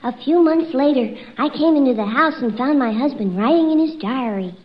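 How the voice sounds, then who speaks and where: A woman's voice: high-pitched, expressive, moderate pace. A woman playing a brazen, lovestruck unfaithful wife in an old radio comedy sketch, on a dull, noisy recording. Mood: mock-serious.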